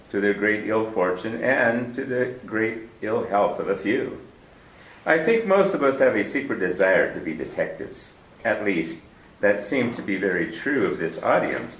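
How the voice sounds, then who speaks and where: Formal 1940s radio host, roomy and distant. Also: male voice, fairly low pitch. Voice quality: formal, stately delivery. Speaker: radio drama host and narrator. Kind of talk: 1940s radio broadcast introduction and narration.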